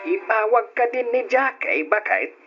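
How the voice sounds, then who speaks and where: A man's voice: extremely high pitch, expressive, a little slow, soft background music. An actor playing a middle-aged father chatting casually with his wife in a radio drama, on a lo-fi old recording. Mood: chatty.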